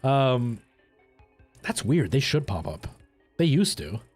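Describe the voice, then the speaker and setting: A man hosting a livestream, chatting casually and colloquially with his viewers in a rambling, animated way. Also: medium pitch, slow pace, breathy edge, soft background music. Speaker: live streamer and host.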